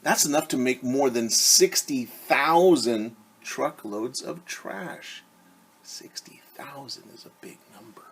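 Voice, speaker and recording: A man's voice: medium pitch, takes it slowly, a bit bright, slight background noise. A middle-aged man, a teacher, reading a picture book aloud to children and explaining things simply. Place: small room at home.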